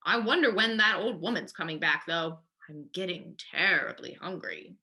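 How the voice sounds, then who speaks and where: A woman's voice: fairly low pitch, expressive, normal speed, creaky, sharp tone, pitched below the performer's own voice, full character voice. A woman voicing a hungry, gullible male tiger in a storybook, musing and grumbling to himself.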